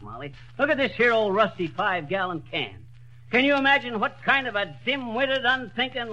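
A blustering folksy radio husband. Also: male voice, high voice, pushed and bright. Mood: grumbling. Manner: folksy drawl, sputtering excuses. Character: blustering, bumbling middle-aged small-town husband. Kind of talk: 1930s radio comedy acting. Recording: dull old radio broadcast recording.

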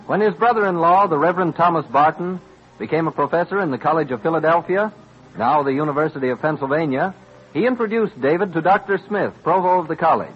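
A man's voice: a little high, quick pace, husky. A man reading scripted narration in an old-time radio style. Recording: dull vintage radio recording. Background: music.

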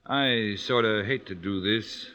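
A ruthless smuggling boss in a vintage radio thriller. His voice is fairly low, with a hard, tense edge. He talks in a sardonic, threatening, hard-boiled way. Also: unhurried, pushed and bright. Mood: mocking, cold. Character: ruthless male smuggling captain, menacing and sardonic. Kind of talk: 1940s radio acting. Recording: vintage radio drama recording.

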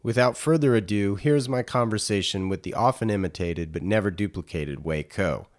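A man's voice: on the low side, normal speed. A man hosting a podcast, speaking as a presenter and interviewer. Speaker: podcast host. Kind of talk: scripted narration and interview.

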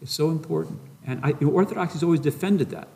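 A man's voice: a little high, expressive, fast talker, vocal fry, mellow. Thoughtful man, a parish priest. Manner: thoughtful, rambling with restarts. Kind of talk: casual interview answers.

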